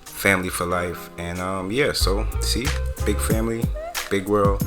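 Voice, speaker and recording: A man from the United States hosting his vlog, talking casually to camera in a relaxed, rambling way. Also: deep voice, unhurried. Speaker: vlog host. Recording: music playing underneath.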